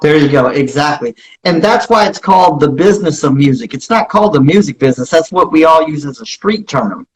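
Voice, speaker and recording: A man with a high, husky voice gives promotional interview talk as a guest. He speaks emphatically and circles back to repeat his key phrases. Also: lively intonation, brisk, few pauses, low-quality recording. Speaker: interview guest, music business founder.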